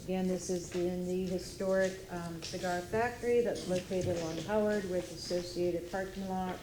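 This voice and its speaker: A woman's voice: on the low side, steady tone, normal speed, reverberant, noisy background. A woman from city planning staff presenting a businesslike zoning report with fillers.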